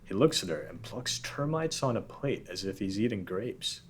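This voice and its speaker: A man reading out scene directions in an even, matter-of-fact way at a table read. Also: on the low side, moderate pace, slight background noise.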